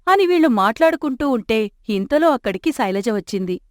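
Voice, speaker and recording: A woman narrating an animated moral story in an even, clear storytelling manner. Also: fairly high pitch, a little fast, no room sound. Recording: dry close studio mic.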